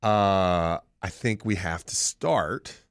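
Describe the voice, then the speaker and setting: A middle-aged man hosting a radio talk show in a studio, chatting casually with animated storytelling. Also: slow pace, clear, resonant tone, no room sound. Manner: animated, lively storytelling with big pitch swings. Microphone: studio microphone.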